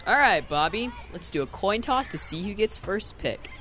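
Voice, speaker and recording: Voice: medium pitch, very animated, moderate pace, bright, forceful tone. A young male actor playing an eager schoolboy team captain in a radio drama, talking in a casual, teasing playground way. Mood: eager, bossy. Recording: noisy outdoor ambience.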